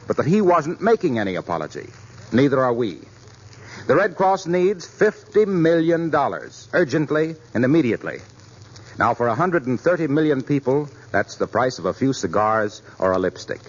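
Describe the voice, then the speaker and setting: Booming 1940s radio announcer. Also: male voice, husky. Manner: booming formal delivery, earnest appeals. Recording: muffled 1940s radio broadcast with hiss.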